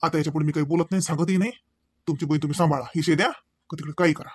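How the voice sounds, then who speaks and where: A man's voice: on the high side, fairly even intonation, racing, slightly rough, dry recording. A man playing a proud, angry village husband in a comedy cartoon, scolding in a Varhadi Marathi accent. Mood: firm, proud. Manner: scolding, firing off rhetorical questions. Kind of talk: amateur comedy cartoon dialogue.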